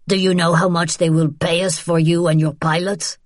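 Woman putting on a gruff, menacing Basque man. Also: on the low side, little pitch movement, normal speed, no echo, studio quality, close to an everyday voice. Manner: growling, blunt, intimidating. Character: rough, menacing broad-shouldered male Basque smuggler guide.

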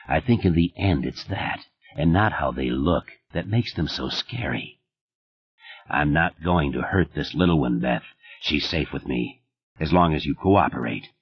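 A man reads as a quietly menacing killer, flat and cold in a low, husky register, sinking even lower and softer than the narration. Each line comes out teasing and deadly calm, on a slightly dull recording. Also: heavily acted. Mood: calm menace.

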